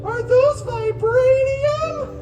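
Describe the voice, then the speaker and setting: A man voicing a sweet, naive little girl from a comic storybook in a drawn-out, squeaky falsetto. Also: lo-fi sound, exaggerated voice. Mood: wide-eyed, astonished. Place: outdoor theme park courtyard stage. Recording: distant outdoor sound, echo, loud music, crowd.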